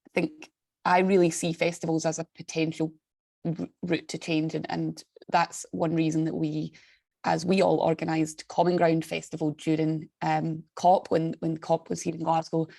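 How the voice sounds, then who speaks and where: A woman's voice: low-pitched, little pitch movement, normal speed, gravelly, dark tone, no echo. A woman speaking on a video call in a chatty, enthusiastic way. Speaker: staff member of an international alliance. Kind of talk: online meeting contribution.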